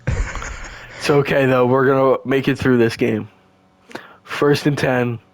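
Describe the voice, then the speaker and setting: A young man, hoarse and losing his voice, doing casual, slangy play-by-play of a video game football match. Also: medium pitch, slow pace, no room sound. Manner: excitable, slangy, stumbles and restarts. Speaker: play-by-play commentator.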